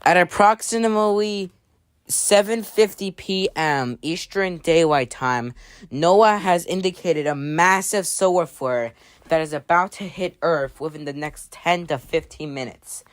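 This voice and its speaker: A woman's voice: fairly low pitch, a little slow, no room sound, lightly acted. A woman playing an emergency alert announcer, reading a scripted official warning in a formal, slightly clumsy way. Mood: official, urgent.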